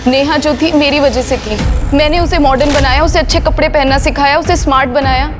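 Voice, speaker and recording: A woman's voice: high-pitched, fairly even intonation, fairly quick, natural voice. A woman playing a young suspect in a TV crime drama, defensive and pleading, over background music. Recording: music score underneath.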